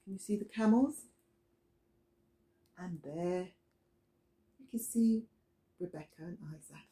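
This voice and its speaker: A middle-aged woman reading a children's Bible story aloud as a storyteller, deliberate and expressive, recorded indoors in a small room. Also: lowish voice. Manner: deliberate, expressive storytelling with questions to young listeners.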